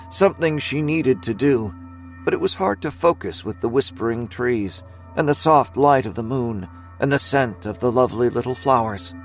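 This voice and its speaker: Man narrating dark fantasy, mid-pitched. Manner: measured, storytelling. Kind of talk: scripted dramatic fiction narration.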